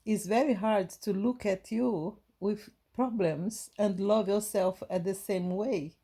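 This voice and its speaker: A woman from Brazil with a Brazilian Portuguese accent, giving a gentle, halting inspirational talk to her viewers with many restarts. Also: mid-range voice, slightly rough. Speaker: spiritual vlogger.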